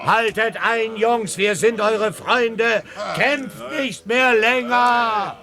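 An actor playing a brash, scheming one-legged pirate ringleader in a radio play, shouting rousing calls to a crew. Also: male voice, very high-pitched, fairly even intonation, drawn-out words, over music, heavily acted. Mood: rousing, persuasive.

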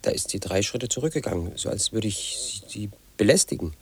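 An actor in his thirties playing an obsessive, aggrieved man who tells his story in a radio play monologue, in a flat, insistent, quietly resentful way. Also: lowish voice, a little fast, slightly rough, heavily acted. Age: 30s. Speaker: narrator of his own story.

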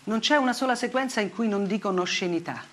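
An actress playing a grave, bitterly ironic woman journalist in a theatrical monologue, speaking deliberately in short, weighted phrases. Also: mid-range voice, natural voice. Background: music.

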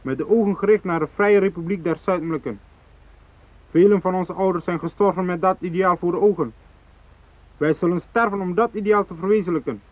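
An actor in a radio drama playing a fanatical, unyielding hijacker who speaks in cold, threatening declarations. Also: male voice, sits high, a little fast, no echo, lightly acted. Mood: stern, commanding. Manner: declarative, threatening, ideological speechmaking. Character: fanatical South Moluccan hijacker, unyielding, cold, righteous militant. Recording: thin phone-like radio drama recording.

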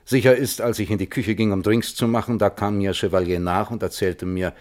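Male actor as fifty-year-old physicist, low voice, weary, reflective, precise, radio drama testimony. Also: fairly even intonation, a little fast, a little mellow, close to an everyday voice. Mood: guarded, recollecting. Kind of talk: German radio play, hearing-room testimony.

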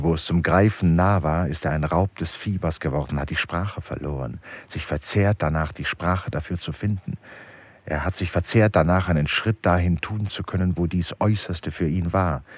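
Brooding radio drama narrator: low, husky, measured and literary, recorded close and dry. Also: male voice, a little mellow, dry recording. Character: grave, brooding male narrator of an inner drama.

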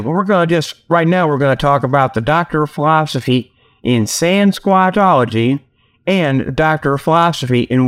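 Male comedy radio host, slightly higher register, folksy and joking, loose off-the-cuff monologue with tongue-in-cheek salesman patter. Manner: folksy, joking, chuckling at own jokes; repeats "okay" as a verbal tag. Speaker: solo host of a comedy internet radio show. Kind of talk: rambling casual monologue with mock sales pitches.

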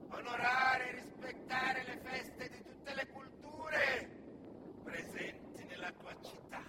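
Male stage storyteller from Senegal: declamatory, solemn, very slow delivery with dramatic pauses, heavy background noise. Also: extremely high pitch. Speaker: actor and griot storyteller. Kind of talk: theatrical monologue, poetic recitation.

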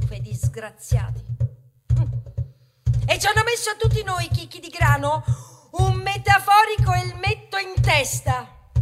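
A talking grain of wheat with a wounded sense of pride, in a very high voice. The delivery is slow and theatrical, moving between confiding asides and rhetorical declamation. It sounds like a satirical stage ballad, with music in the recording. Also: female voice. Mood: indignant. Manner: plaintive, conversational asides to the audience, sudden declamatory swells. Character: aggrieved talking grain of wheat, male, proud and self-justifying. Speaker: stage actor performing a monologue. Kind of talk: theatrical satirical monologue, rhetorical, with bursts of song.

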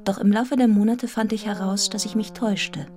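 An earnest, observant young schoolmistress, played by an actress, recounts her life for a radio drama. Her medium-pitched delivery is measured, reflective and articulate, with music clearly audible behind it. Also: fairly even intonation, natural voice. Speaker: lead actress and narrator. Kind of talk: literary radio drama narration.

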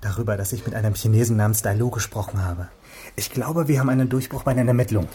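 An actor playing a young, inexperienced police detective, earnest and defiant, in a radio crime drama. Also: male voice, fairly low pitch, quick pace, mellow, close to an everyday voice. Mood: eager. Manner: earnest, defensive.

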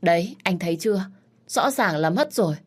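Woman voicing a sharp-tongued, scheming young lady. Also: fairly low pitch, animated, moderate pace, husky. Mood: insistent. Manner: insistent, sharp protests.

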